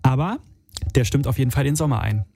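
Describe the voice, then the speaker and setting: A man's voice: medium pitch, quick pace, creaky, dark tone, slightly rough, no echo. Chatty young male radio host. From Italy. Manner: chatty, filler-heavy, youthful slang. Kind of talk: casual late-night call-in radio chat.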